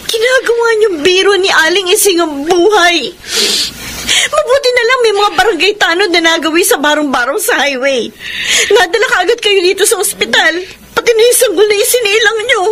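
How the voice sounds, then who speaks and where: An actress with a Filipino accent playing an upset, scolding and pleading grown daughter in an emotional radio drama. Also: female voice, very high-pitched, a little fast, a bit bright. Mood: reproachful. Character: upset, reproachful grown daughter confronting her pregnant mother.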